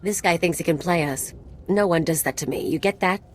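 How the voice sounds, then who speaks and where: Woman acting as brash game mercenary: scornful, confrontational, somewhat low voice, faint ambience behind. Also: a little fast, a little hiss or hum, natural voice. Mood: indignant. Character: brash, hot-tempered female mercenary companion.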